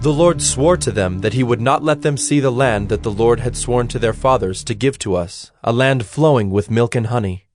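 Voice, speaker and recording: A man narrating scripture from a script in a formal, measured storytelling manner. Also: mid-range voice, a little mellow, soft background music.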